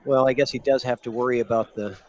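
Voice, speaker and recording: A man's voice: medium pitch, fairly even intonation, fast talker, a little mellow, slight background noise, rough recording. A man co-commentating a pool match in an easygoing, chatty way, often restarting his sentences. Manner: easygoing, hesitant, many restarts. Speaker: stream commentator.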